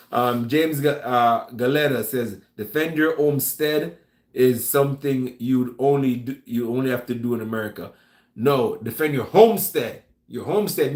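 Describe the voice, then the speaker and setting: Jamaican guy rambling through chat on his stream, mid pitch, kinda echoey room. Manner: relaxed, stumbling restarts, calls people man. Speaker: vlog host reading live chat. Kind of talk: casual live-stream chat. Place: room at home with gun rack.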